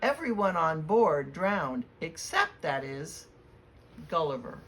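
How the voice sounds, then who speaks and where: Female children's read-aloud reader, lower register, expressive and deliberate, with audible ambient noise. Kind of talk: storybook read-aloud with spoken introduction. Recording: clear background noise.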